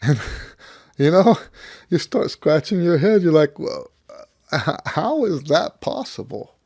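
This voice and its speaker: A middle-aged American man, slightly hoarse as he gets over losing his voice, talking casually and ramblingly like a teacher sharing experience. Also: a little high, lively intonation, takes it slowly, a little mellow, no room sound, studio quality. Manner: rambling, conversational, thinking aloud. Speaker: trumpet teacher. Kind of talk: casual talk to camera, personal anecdote.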